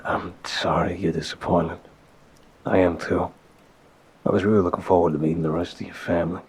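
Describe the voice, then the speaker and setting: A man playing a soft-spoken, apologetic mafia boss boyfriend in an intimate ASMR roleplay, hesitant and gentle, with a low, husky, breathy voice. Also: level tone, a little hiss or hum. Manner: hesitant, gentle, sighing pauses. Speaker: audio roleplay performer. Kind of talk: ASMR audio roleplay, one-sided intimate phone call.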